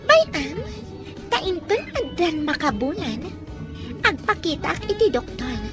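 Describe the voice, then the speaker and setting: A woman's voice: very high-pitched, very animated, unhurried, exaggerated voice. A woman voicing a scheming young woman who teases and pries with sly questions, in an audio drama. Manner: teasing, prying questions with sly laughs. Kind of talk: Ilocano radio-style drama acting. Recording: faint music behind.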